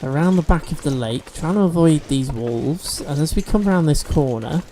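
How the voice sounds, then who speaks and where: A man's voice: a little high, expressive, normal speed, mellow, a little hiss or hum. A man hosting a let's-play video, chatting and explaining game mechanics as he plays, a bit sniffly from allergies. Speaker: gaming video host.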